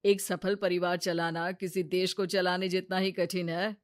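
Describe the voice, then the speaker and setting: A woman's voice: lowish voice, little pitch movement, unhurried, no echo, clean studio sound. A woman voicing a nagging middle-aged mother-in-law in a cartoon story, scolding and pushy. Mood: kind.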